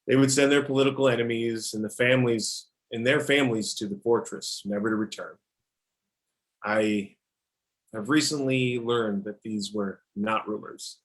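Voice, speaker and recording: A man playing a menacing, measured dictator in an amateur table read. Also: medium pitch, natural voice, poor audio. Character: menacing male dictator president boasting of atrocities.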